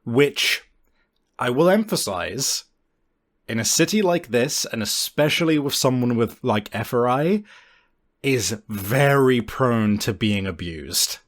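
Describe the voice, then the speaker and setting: A man acting as game master, improvising narration for a tabletop roleplaying game in an informal, halting, explanatory way. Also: mid-range voice, lively intonation.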